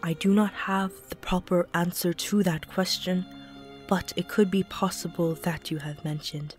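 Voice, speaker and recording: A woman voicing a calm mystic spirit guide who speaks in solemn rhymes. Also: lowish voice, a little slow, faint music behind, natural voice. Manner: solemn, rhyming.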